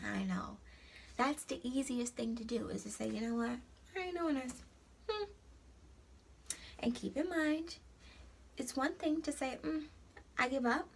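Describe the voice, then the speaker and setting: Encouraging young woman with a gravelly voice. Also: on the high side, a little hiss or hum. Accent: New York. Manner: warm, preachy and encouraging, calls viewers honey. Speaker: host of an encouragement site. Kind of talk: casual motivational vlog.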